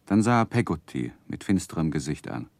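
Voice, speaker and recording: Wistful grown man narrating his childhood for radio play: deep voice, measured, close dry studio. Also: sing-song, gravelly, darkish tone, no echo, full character voice. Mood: grave, reflective. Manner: measured, reflective. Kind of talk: scripted literary narration, 1960s German radio drama.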